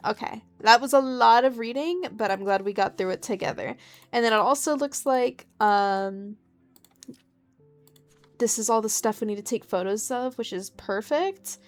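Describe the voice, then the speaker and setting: A woman does casual let's play commentary. Her voice sits a little high. She talks informally and chattily as she reads game text aloud. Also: moderate pace. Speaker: gaming video host.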